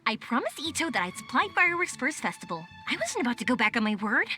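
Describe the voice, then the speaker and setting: Bright, high, bubbly young woman. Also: sing-song, fast talker, faint music behind. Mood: cheerful. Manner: chirpy, eager. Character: bubbly, warm-hearted young woman, loyal and enthusiastic. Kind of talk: video game voice acting.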